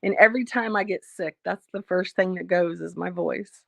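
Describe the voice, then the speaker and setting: A woman hosting a casual livestream, reading out viewer comments and speaking frankly about her life, slightly hoarse as she loses her voice. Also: lowish voice, steady tone, normal speed, no room sound. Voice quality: frank, blunt, swears freely. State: losing her voice, a bit hoarse. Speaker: livestream host.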